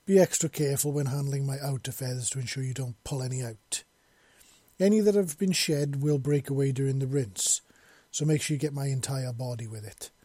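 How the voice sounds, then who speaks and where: An imperious bird-woman mistress from an audiobook tale, performed by a man. She speaks formally and precisely, curious yet used to giving orders. The voice is a little rough and mellow, recorded very dry. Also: medium pitch, brisk, dry recording, natural voice. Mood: commanding. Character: imperious, curious female bird-creature mistress, commanding and innocent.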